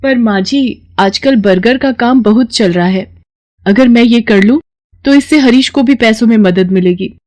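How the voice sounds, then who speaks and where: A woman's voice: fairly high pitch, normal speed, a little mellow, no room sound, voice pushed up, lightly acted. A woman voicing an earnest, hopeful young wife and mother in a cartoon story, with a pleading manner.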